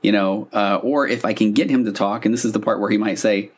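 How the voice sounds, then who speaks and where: A man with a fairly low voice speaks as a solo podcast host. He explains in an informal, conversational way, with the animated delivery of a therapist talking through examples on a studio-style mic. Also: a little fast. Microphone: podcast microphone on a stand.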